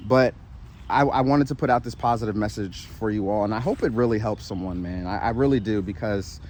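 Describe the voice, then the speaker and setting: A man's voice: lowish voice, moderate pace, darkish tone, a little hiss or hum. A man giving an earnest spiritual pep talk while he walks outdoors in the shade, tired and sweating, often repeating himself and asking "right?". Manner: earnest, repetitive, with frequent "right?" and restarts; walking while he talks. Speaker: spiritual motivational vlogger. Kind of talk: casual encouraging monologue.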